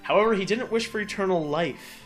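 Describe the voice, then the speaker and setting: A man giving casual, excitable, jokey game commentary, sometimes slipping into mock voices. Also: sits high, brisk, faint music behind. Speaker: Let's Play commentator. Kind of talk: casual banter over game cutscenes.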